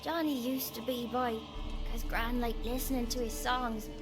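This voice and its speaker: A woman playing a timid, wary little peasant child in a video game, with halting, naive speech. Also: on the high side, steady tone, natural voice. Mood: timid, trusting. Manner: halting, naive, rustic grammar. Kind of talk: video game cutscene acting. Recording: music behind.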